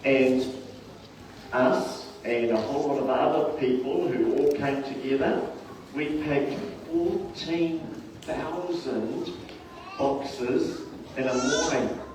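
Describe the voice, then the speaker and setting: A man addressing a school assembly, giving slow, deliberate directions to the pupils. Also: medium pitch. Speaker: school staff leader at assembly. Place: large school hall. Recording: echoey hall from far off, background noise.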